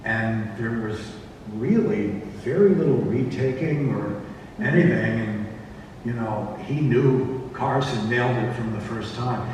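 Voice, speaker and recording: A man's voice: mid-range voice, unhurried, a little mellow. Man on a panel in an echoey hall. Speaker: panelist, audio drama director and actor. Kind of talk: casual panel discussion. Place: convention panel room. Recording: echoey hall, distant mic, faint noise.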